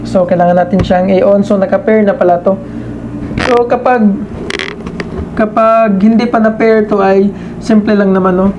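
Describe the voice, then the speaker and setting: A man giving a casual hands-on product demonstration as a reviewer, halting and repeating himself as he searches for words. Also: high voice, fairly even intonation, lo-fi sound, noisy background.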